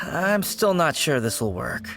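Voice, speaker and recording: Doubtful, animated funeral parlor employee. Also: male voice, fairly high pitch, slow, creaky, music in the background, heavily acted. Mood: weary. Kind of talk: video game character voice acting.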